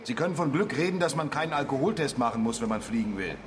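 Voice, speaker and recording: A man's voice: mid-range voice, lively intonation, fast, noisy background, natural voice. An actor in a German radio drama playing a curt, suspicious airport policeman with a stern, official manner.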